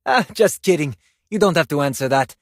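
A vain Spanish ballet dancer from a video game. His voice is husky and swings widely in pitch, with a Spanish accent and a theatrical, self-admiring flourish. The sound is dry and close. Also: lively intonation, brisk, no echo. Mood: vain, playful. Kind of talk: video game character voice lines.

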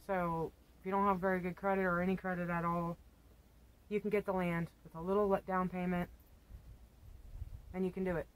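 A woman's voice: lowish voice, flat delivery, a little fast, long pauses, low-quality recording. Chatty, blunt woman on a porch. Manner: chatty, blunt, self-mocking. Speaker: homesteading vlogger. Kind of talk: casual chat. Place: outdoors on a sunny porch. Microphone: camera on a tripod a few feet away.

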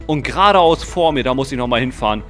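A young man narrating a game role-play dramatically and excitedly, full of exclamations, close to the microphone. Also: mid-range voice, fast. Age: young adult. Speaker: gaming video host and player. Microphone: close microphone, seen. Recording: music under the voice. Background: music.

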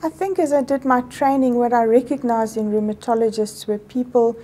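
A middle-aged South African woman whose first language is Afrikaans, a medical professor giving thoughtful, measured answers in an indoor interview. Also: a little high, steady tone, dark tone. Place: office interview set, indoors.

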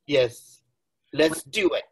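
A woman co-hosting a podcast, playing along in a put-on accent with slow, playful chat. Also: sits low, animated, husky. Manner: slow and playful, joining in the bit. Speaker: podcast co-host.

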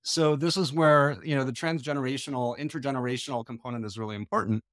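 A middle-aged man from the United States gives a conference talk over a video call, his voice sitting in a middle register. The sound is close and dry, with no room echo. He speaks in a measured, thoughtful way, pausing on ums and restarting his sentences. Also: medium pitch, fairly even intonation, fairly bright tone. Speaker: conference lecturer. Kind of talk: online lecture leading a discussion. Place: small indoor room. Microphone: video call webcam.

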